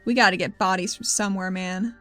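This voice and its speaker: A woman's voice: mid-range voice, fairly even intonation, fairly quick, pushed and bright, natural voice. Tabletop RPG heroine, acted by a woman: resolute, pleading, everyday casual delivery over faint music. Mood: urgent, pleading. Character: determined woman rebel pleading to protect her city.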